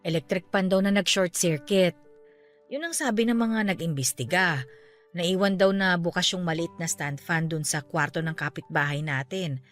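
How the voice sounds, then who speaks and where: A low female voice over soft background music, an actress playing a middle-aged aunt in a radio drama. She is grieving yet steadfast and caring, and talks in a natural, conversational way. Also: normal speed, close to an everyday voice. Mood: resigned, practical. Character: grieving aunt who just lost her parents, caring, steadfast. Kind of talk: Tagalog radio drama acting, naturalistic dialogue. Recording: music bed under the voice.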